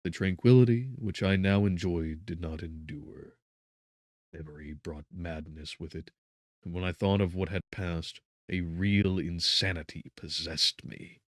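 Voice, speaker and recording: A man narrating a gothic novel as an audiobook, solemn and theatrical, with measured phrasing and emphatic swells. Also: sits low, steady tone, no room sound, clean studio sound.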